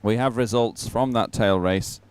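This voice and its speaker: A man presenting a live sports event off the cuff, in a chatty, somewhat halting manner. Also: lowish voice, smooth, no room sound.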